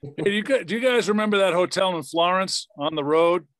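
A man's voice: sits high, brisk, fairly bright tone, no echo. A man hosting a podcast, talking conversationally with his guests. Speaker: podcast host, former radio play-by-play announcer.

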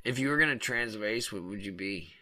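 A man's voice: lowish voice, animated, fairly quick, sharp tone, dry recording. A man co-hosting a comedy podcast, trading crude, joking banter and riffing on absurd ideas. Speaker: podcast co-host.

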